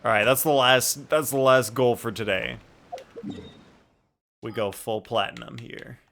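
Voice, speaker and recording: Bright-voiced man livestreaming a game. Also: medium pitch, fairly even intonation, unhurried, vocal fry. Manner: informal, thinking aloud. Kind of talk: casual livestream gaming chat. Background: music.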